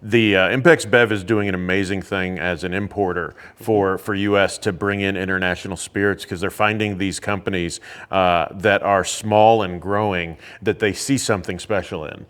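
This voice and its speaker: A man who teaches about whiskey, explaining things in a relaxed, casual way with lots of fillers. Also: low-pitched, normal speed, hardly any pauses. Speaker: whiskey educator. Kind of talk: casual chat. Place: indoors at a teaching academy.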